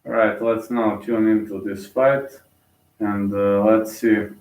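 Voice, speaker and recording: A man's voice: fairly low pitch, level tone, slow, a little mellow, echoey room, recorded from a distance. A man from Bulgaria with a Bulgarian accent, casually commentating a live fight and rambling with many fillers and restarts.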